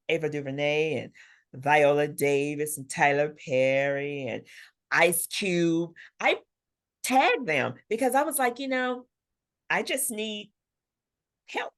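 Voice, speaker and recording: A woman's voice: low-pitched, fairly bright tone. A middle-aged woman talking candidly as an interview guest, emphatic and self-assured, often quoting herself as she tells her story. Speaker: guest author.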